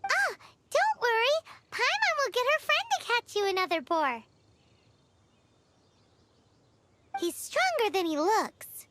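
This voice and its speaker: A woman voicing a small, childlike fairy companion girl in a video game, squeaky, chatty and eager. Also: big pitch swings, normal speed, noisy background. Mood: cheerfully confident. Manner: eager, chatty, refers to herself by name. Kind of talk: animated video game voice acting. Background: game music.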